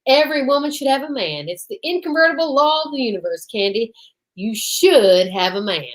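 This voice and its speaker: Female actor as eccentric boozy male photographer, high voice, pompous and theatrical, radio-play style. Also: big pitch swings, fairly quick. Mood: grand, whimsical. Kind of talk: old-time radio comedy-detective acting.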